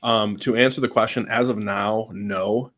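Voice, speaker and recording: A man's voice: on the low side, fairly even intonation, normal speed, a bit bright. A man co-hosting a casual livestream chat, easygoing and chatty with lots of fillers, sounding a little stuffed from a cold. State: fighting a cold, slightly stuffed. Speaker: co-host.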